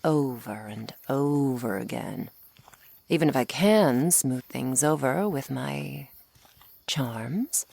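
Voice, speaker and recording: A woman's voice: rumbling low, slow, vocal fry, warm tone, heavily acted. A woman playing a flirty, sardonic female vampire in an ASMR roleplay, taunting the listener playfully up close. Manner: sardonic, playful, taunting, with pet names and sighs.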